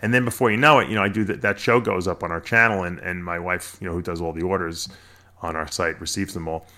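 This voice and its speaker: A man's voice: deep voice, fairly even intonation, fast, pushed and bright. A middle-aged American man talking casually as an interview guest, explaining things in an emphatic, talkative way with repeated phrases. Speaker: podcast guest, bodybuilder and snake breeder.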